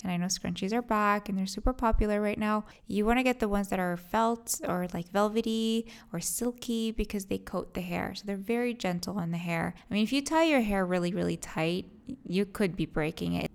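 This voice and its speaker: A woman's voice: fairly low pitch, gravelly. A woman hosting a podcast, chatting casually and emphatically as she gives practical advice. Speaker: podcast host and hairstylist.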